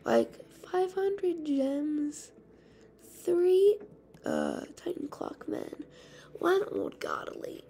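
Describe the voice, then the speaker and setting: A woman with a raspy, hoarse voice giving rambling, casual commentary while playing a game. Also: high voice, mellow, a little hiss or hum. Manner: rambling, chatty, full of fillers. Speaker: gaming channel host.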